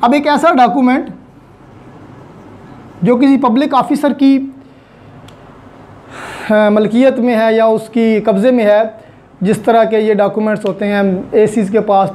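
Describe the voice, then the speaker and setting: A woman with a Pakistani accent and a dark, mellow voice at a middling pitch. She lectures didactically, explaining points step by step and dropping in English technical terms. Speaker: law lecturer. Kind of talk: explanatory online lecture with everyday examples.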